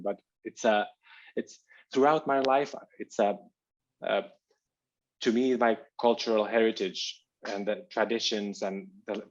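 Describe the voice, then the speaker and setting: Low-voiced man, halting and reflective. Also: muffled recording. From Finland. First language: Albanian. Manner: halting, reflective, searching for words. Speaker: author guest. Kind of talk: thoughtful interview conversation. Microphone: video call.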